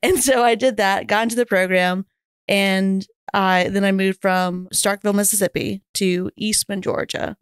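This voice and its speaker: A woman's voice: mid-range voice, steady tone, moderate pace, clean tone, no echo. An American woman in her thirties chatting informally as a podcast guest, telling anecdotes in a casual way on a close studio microphone. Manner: informal, chatty, peppered with "like" and mild swearing. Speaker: podcast guest, air traffic controller. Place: small podcast studio, indoors. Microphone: close boom-arm podcast microphone.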